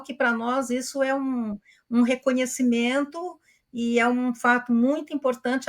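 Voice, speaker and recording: A woman's voice: high voice, a bit bright. A woman who is a teacher, asking a guest speaker a long, courteous question. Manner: polite, elaborate phrasing.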